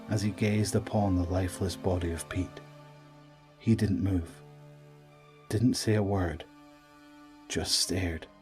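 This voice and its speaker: A man narrates an audio drama in a low voice. His delivery is scripted and dramatic, and music plays behind him. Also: a little mellow.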